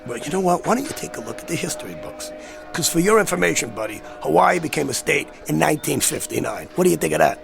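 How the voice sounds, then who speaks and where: A man's voice: fairly high pitch, animated, fast, vocal fry. A man playing a brash, argumentative hard drinker in a radio drama, with a pushy, sarcastic way of talking. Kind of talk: dramatized radio-play dialogue. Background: faint music.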